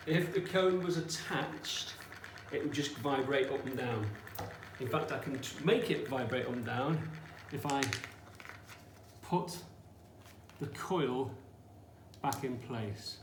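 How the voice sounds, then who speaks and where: A man teaching a hands-on science demonstration in an informal, explanatory way, often checking that listeners follow with short questions. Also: medium pitch. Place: indoors in an echoey room. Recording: echoey room with faint background noise.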